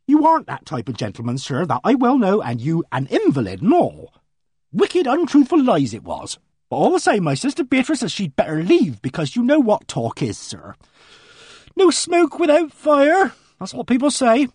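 A man's voice: extremely high pitch, a little mellow, husky, dry recording, studio quality, pitched above the performer's own voice, heavily acted. A man voicing an earnest, indignant middle-aged village charwoman who rambles breathlessly. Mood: indignant, rambling. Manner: breathless, rambling country speech.

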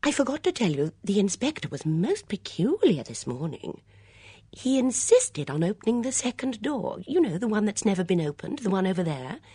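Flustered older woman companion, acted by a female narrator, high-pitched, husky, stammering, apologetic, scatterbrained, audiobook. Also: sing-song, moderate pace, dark tone, no room sound. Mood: excited, gushing.

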